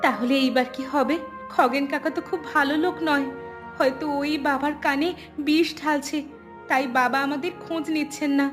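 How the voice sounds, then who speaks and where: A woman acts out a young runaway bride from a village cartoon. She sounds wistful and homesick, in a highish voice. Light music runs behind her. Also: steady tone, moderate pace, natural voice. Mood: wistful, worried. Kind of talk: cartoon voice acting.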